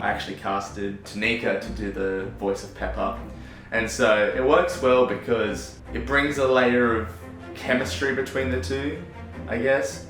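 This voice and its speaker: A young Australian man chatting casually as a filmmaker, self-deprecating and quick to laugh. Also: fairly low pitch, fairly bright tone. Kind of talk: casual vlog narration. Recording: echoey room with music under it.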